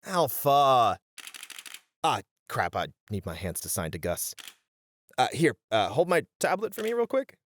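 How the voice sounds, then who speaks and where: A man's voice: medium pitch, sing-song, unhurried. A man playing a strict, exasperated band manager in a scripted roleplay audio drama, with dry, chiding banter. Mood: brisk, chiding. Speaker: voice actor.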